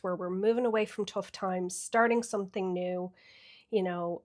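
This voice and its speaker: An Irish woman getting over a cold and a bit sniffly, chatting casually through a weekly tarot reading with many fillers. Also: mid-range voice. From Ireland. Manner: chatty, thinks aloud with fillers. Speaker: tarot reader.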